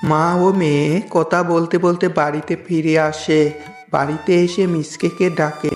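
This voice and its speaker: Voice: mid-range voice, flat delivery, unhurried, darkish tone. Echoey cartoon fable narrator. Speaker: storyteller, narrator. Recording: roomy echo with music behind.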